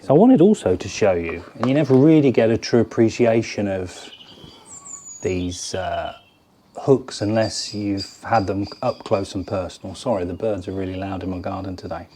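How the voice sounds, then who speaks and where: A man's voice: lowish voice, dark tone, a little hiss or hum. A British man in his forties, chatting casually as he demonstrates fishing lures to camera from a garden shed. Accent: British English. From United Kingdom. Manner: chatty, hands-on, explains while fiddling with lures. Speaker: fishing tackle presenter. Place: garden cabin or shed, indoors.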